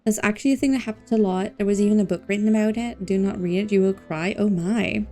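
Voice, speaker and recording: A woman livestreaming a game, chatting casually and playfully with expressive exclamations and silly filler words, game music behind her. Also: mid-range voice, quick pace. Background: faint video game music.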